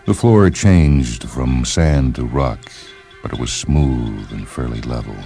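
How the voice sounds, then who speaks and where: A man narrating a science fiction short story in a measured, literary storytelling manner. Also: rumbling low, warm tone. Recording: music behind the voice.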